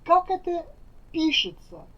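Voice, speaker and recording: High, lively woman teacher enunciating carefully. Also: slow. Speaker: Russian language teacher. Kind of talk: slow lesson phrases repeated for learners. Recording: dull lo-fi recording.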